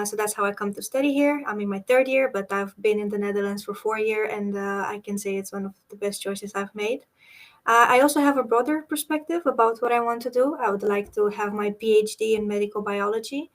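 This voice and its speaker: Young Romanian woman student, hesitant. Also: medium pitch. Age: young adult. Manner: hesitant, searching for words, many "um"s. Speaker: university student guest. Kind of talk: casual webinar chat.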